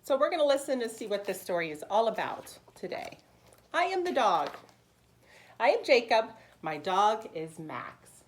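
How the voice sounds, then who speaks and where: A woman with a fairly high, very lively voice reads aloud for young children. She speaks simply and explains as she goes, stressing words expressively like a preschool teacher. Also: moderate pace, a little hiss or hum.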